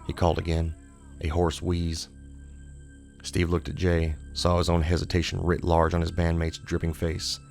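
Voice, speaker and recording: Male horror narrator, very low and creaky, steady delivery, music underneath. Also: moderate pace. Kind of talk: scripted horror audiobook narration.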